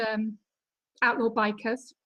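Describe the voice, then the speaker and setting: British woman, author guest, high voice, chatty with fillers. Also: little pitch movement, slow, lo-fi sound. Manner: chatty, laughs easily, many fillers. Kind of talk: casual interview over video call.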